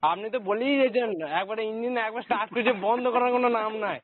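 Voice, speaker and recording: A man's voice: very high-pitched, steady tone, rapid-fire, a crowd in the background. A man making a casual, teasing prank call in a cheeky, slangy, repetitive way. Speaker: prank caller. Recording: noisy, tinny phone-quality audio.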